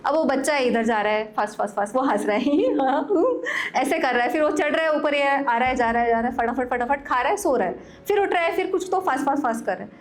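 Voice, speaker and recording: A woman in her forties, a wellness coach leading a live workshop, lively, questioning the audience and giving step-by-step instructions while mixing in English phrases. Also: sits high, fast talker. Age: 40s. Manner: mixes Hindi with English phrases. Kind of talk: live workshop talk to a group.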